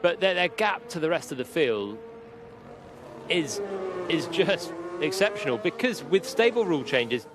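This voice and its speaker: A man's voice: fairly high pitch, big pitch swings, a little slow. A man giving live analytical motorsport commentary as a co-commentator, with car noise behind him.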